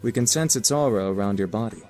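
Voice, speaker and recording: A man voicing a polite, loyal demon in a visual novel, gentle and reassuring in manner. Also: fairly low pitch, quick pace, soft background music, close to an everyday voice. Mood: explanatory.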